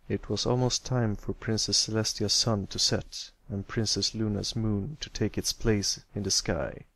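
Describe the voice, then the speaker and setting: A man's voice: fairly low pitch, no echo. A man giving a scripted narration of a fan fiction story as an amateur reader.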